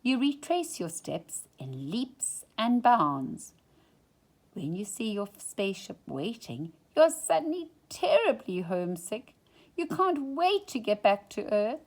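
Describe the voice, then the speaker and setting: A woman reading a children's picture book aloud in an expressive, dramatic storytime style. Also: medium pitch, takes it slowly. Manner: dramatic, drops into hushed whispers for suspense. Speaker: storytime reader.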